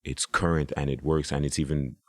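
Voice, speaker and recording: A man's voice: extremely low pitch, flat delivery, a little fast, a bit bright, no room sound. A man, a hip hop artist, talking casually as a podcast guest, rambling with restarts and "you know".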